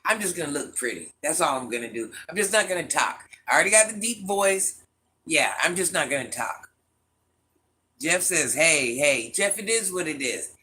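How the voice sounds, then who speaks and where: A woman's voice: deep voice, lively intonation, moderate pace, pushed and bright, a little hiss or hum. A woman hosting a casual livestream, reading viewer comments aloud and teasing them by name with a laugh. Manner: calls herself Granny.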